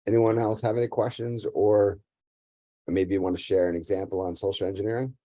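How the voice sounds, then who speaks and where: Deep-voiced man leading an online class. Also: steady tone, fairly quick, mellow, dry recording, studio quality. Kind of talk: interactive lesson, prompting participants. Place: online video call.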